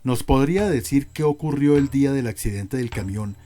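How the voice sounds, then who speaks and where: An actor as a male priest interrogator in a radio drama. His voice is mid-pitched and mellow, his questioning formal and measured. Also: close to an everyday voice. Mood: probing. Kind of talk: audio drama courtroom acting.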